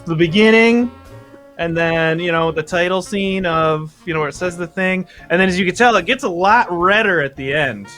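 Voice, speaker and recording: A man's voice: high-pitched, moderate pace, fairly bright tone, faint music behind. A young adult man chatting casually and enthusiastically in a rambling, conversational way. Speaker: member of an amateur script-reading group. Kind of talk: casual group chat, showing off collectibles. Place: at home on a group video call.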